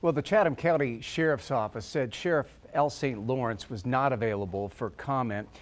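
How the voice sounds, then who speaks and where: A man's voice: medium pitch, moderate pace. An American man in his fifties reading scripted news as a studio anchor. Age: 50s. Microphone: lapel mic.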